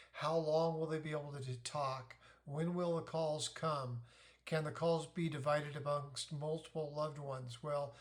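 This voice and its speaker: A middle-aged man talks in a slightly higher register than most men. He hosts an informal explainer, sharing advice as a parent. His delivery is deliberate and methodical, working through questions and answers step by step. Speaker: host, navy parent giving advice.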